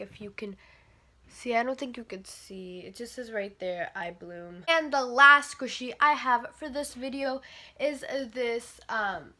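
Teen girl, congested cold voice, bright tone, chatty rambling vlog, bedroom, camera mic. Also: on the high side. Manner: chatty, rambling, many fillers. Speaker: vlogger. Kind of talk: casual product review vlog. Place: bedroom, indoors.

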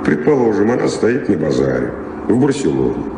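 An actor in a radio play as a reflective, world-weary former lover, musing haltingly with pauses. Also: male voice, low-pitched, very animated, noisy background. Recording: echoey radio play with music behind.

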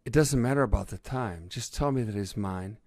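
A man's voice: lowish voice, fairly quick, darkish tone, dry recording, natural voice. A man voicing a lovelorn, melodramatic lady setter dog who speaks plaintively in a storybook tale. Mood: wistful.

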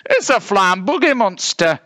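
A man acts out a nervous brown bear from a storybook. The bear is timid and whiny. The voice sits high, with a bright edge. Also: big pitch swings, a little slow, exaggerated voice. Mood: surprised. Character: timid, whining big-nosed brown bear.

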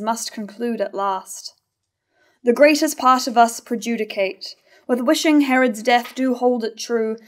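Young woman as high-voiced moralising tragic chorus. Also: close to an everyday voice. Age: young adult. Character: moralising chorus of a classical tragedy. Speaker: actor in a staged reading. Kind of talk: formal verse recitation. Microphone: stand mic at lectern.

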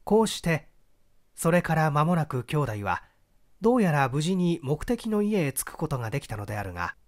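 A man reading literary fiction as scripted audiobook narration in an expressive storytelling manner. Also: on the high side, normal speed. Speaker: audiobook reader.

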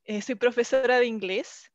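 A woman's voice: on the high side, moderate pace, no room sound, dull audio. A young woman with a Chilean Spanish accent, presenting a project on a video call through a headset. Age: young adult. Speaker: English teacher presenting a language project. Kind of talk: online presentation. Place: small indoor room, video call.

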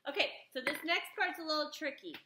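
A woman's voice: high voice, expressive, fast talker. A woman in her thirties, a teacher, leading an upbeat craft lesson for kids at a home table, explaining step by step. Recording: echoey home room, background noise.

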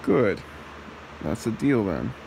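Man acting a slow, gravelly possessive demon husband. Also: lowish voice, big pitch swings, background noise, full character voice. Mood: coaxing, cocky. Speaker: ASMR roleplay performer. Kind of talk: ASMR roleplay.

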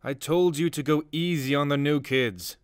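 A man's voice: on the high side, normal speed, very noisy, lightly acted. A man playing an encouraging army drill instructor in a comic dub, authoritative yet friendly. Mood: chiding, curious. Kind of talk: dramatic comic dub acting.

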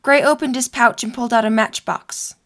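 Young woman narrating a story from a script, voice a bit on the high side. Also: fairly even intonation, a little fast, a bit bright.

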